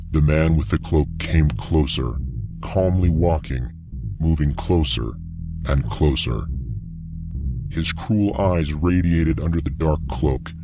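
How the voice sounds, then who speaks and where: A man giving a dramatic scripted narration of a fantasy audiobook. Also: a bass voice. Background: music behind the voice.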